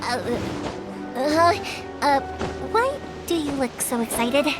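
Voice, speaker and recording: A woman's voice: sits high, big pitch swings, slow, music in the background, full character voice. An actress playing a cheerful, helpful young woman who makes fireworks, talking in an upbeat, reassuring way. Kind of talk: game cutscene voice acting. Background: soft background score.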